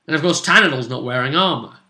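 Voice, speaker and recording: Male game master, higher-pitched and lively, casual storytelling, roomy echo. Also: fast talker. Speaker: game master recapping a role-play session. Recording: roomy, echoing home recording.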